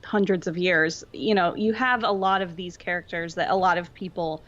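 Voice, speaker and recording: A woman's voice: lowish voice, fairly even intonation, normal speed, fairly bright tone, highs cut off. A woman speaking as a podcast guest in informal, casual chat, often peppering her sentences with "like".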